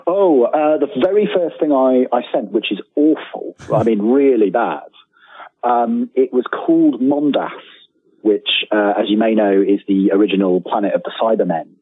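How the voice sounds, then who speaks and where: A British man in his forties talks casually as an interview guest, with a husky edge to his voice. He rambles a little, and the call sounds narrow and phone-like, very dry. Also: mid-range voice, moderate pace, dry recording. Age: 40s. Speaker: guest author. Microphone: remote call.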